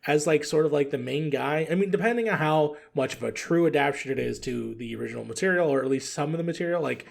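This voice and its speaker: Man chatting casually with a creaky voice. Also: a little high, fast talker. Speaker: podcast guest. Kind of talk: casual chat.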